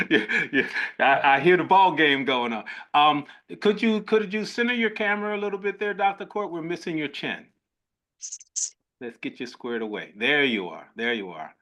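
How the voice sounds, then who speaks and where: A man's voice: on the high side, expressive, moderate pace, sharp tone. Older male doctor-host, effusive, rambling, restarts his phrases. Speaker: host, physician. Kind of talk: webinar hosting.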